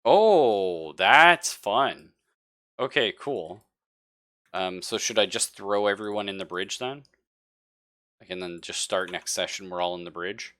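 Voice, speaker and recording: A man's voice: on the low side, a little slow, fairly bright tone. A man casually wrapping up a tabletop game session as game master, slangy and playful.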